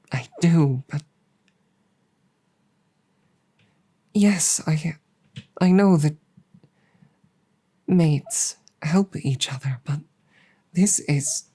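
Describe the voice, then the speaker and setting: A woman performs a female dragon in an intimate audio drama, proud yet embarrassed and tender with a human mate. The voice is low, dark and husky with breath. The words come slowly and formally, with flustered repeats and drops toward a whisper. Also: exaggerated voice. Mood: tender, reluctant.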